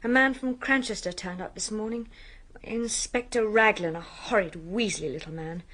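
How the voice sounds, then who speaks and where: A woman's voice: medium pitch, moderate pace, a bit bright, natural voice. An actress playing an anxious, earnest, well-bred young woman in a radio drama. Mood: urgent, worried.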